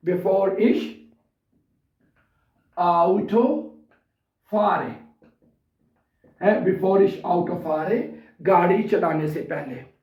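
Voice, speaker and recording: A man with a sore throat teaching a language lesson in a casual way, repeating example sentences word by word. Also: high voice. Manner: slow and repetitive, spelling out example sentences word by word. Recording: distant, roomy, dull sound.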